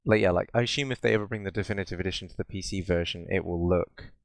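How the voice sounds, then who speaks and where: A British man with a fairly low, clean voice, recorded close and dry. He talks as a podcast co-host in loose, rambling banter. His delivery is sardonic and peppered with swearing. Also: racing, vocal fry, no room sound. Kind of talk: casual bantering chat about video games.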